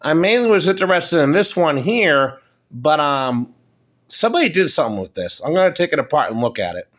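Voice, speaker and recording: A middle-aged American man talking casually and off the cuff in a halting, matter-of-fact way, showing items at a table indoors on a handheld phone. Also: on the high side, sing-song, fairly bright tone. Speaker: hobbyist presenter. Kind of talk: casual off-the-cuff product show-and-tell. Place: indoors at a wooden table in a home. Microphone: handheld phone, speaker behind camera.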